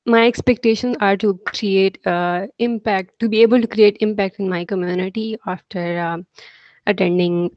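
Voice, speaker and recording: A woman introducing herself on a video call, hesitant with many pauses. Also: mid-range voice, fairly even intonation, dry recording. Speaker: program delegate. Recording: dry, dull video call audio.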